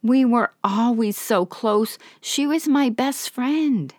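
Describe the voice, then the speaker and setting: A woman voicing the quoted words of an elderly, guilt-ridden, devout woman, in a soft, sorrowful, confiding way. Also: fairly high pitch, slow, dry recording, studio quality, pitched above the performer's own voice.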